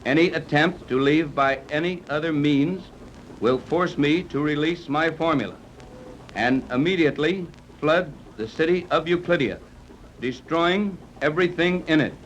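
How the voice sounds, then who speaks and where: A man's voice: fairly high pitch, normal speed, lo-fi sound, lightly acted. Man playing a commanding captain in noisy old radio. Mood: stern, deliberate. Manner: decisive, clipped orders. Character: commanding, confident ship captain, adventurous hero. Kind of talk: 1930s radio serial acting. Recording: noisy 1930s radio recording.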